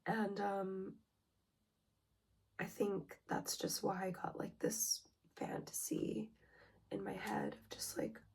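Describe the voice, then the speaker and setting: A young woman getting over a cold, hoarse and breathy, talking through a personal self-help vlog with hesitant ums. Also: medium pitch. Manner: slow, hesitant, with many ums and soft whispery breaths. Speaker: relationship vlogger.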